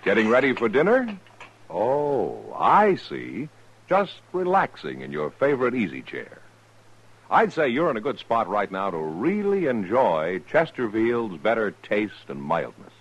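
An American man reading a 1950s radio commercial in a conversational, emphatic announcer style with a husky voice. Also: fairly low pitch, huge pitch swings, measured pace. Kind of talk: 1950s radio advertising read. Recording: dull vintage radio recording.

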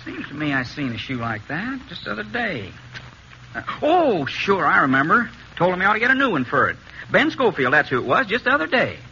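A chatty frontier blacksmith with a high, very piercing voice. He is eager and rustic, folksy in every phrase. It comes from a muffled 1950s radio western. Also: a little fast, heavily acted. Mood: recollecting, helpful. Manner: rustic, folksy, excitable speech. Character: eager, chatty small-town blacksmith man of the Old West. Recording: muffled, faintly hissy 1950s radio recording.

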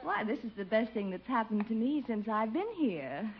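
A woman's voice: fairly high pitch, lively intonation, normal speed. An actress playing a flirtatious, gushing American woman in a 1950s radio sitcom, with theatrical coquettish delivery. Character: flirtatious, gushing blonde woman visiting her sister. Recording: noisy, muffled 1950 radio recording.

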